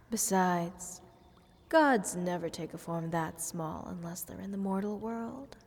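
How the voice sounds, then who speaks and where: A woman's voice: fairly low pitch, slow. A woman playing a playful, selfish goddess in a fantasy audio roleplay, talking down to a little mortal in a teasing, condescending way with whispered phrases. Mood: amused, condescending. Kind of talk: scripted fantasy audio roleplay addressed to the listener. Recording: long hall-like reverb.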